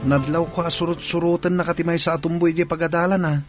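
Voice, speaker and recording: A man's voice: on the high side, level tone, fast talker, over loud music, natural voice. A young man playing an earnest, respectful son in a radio drama. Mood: teasing.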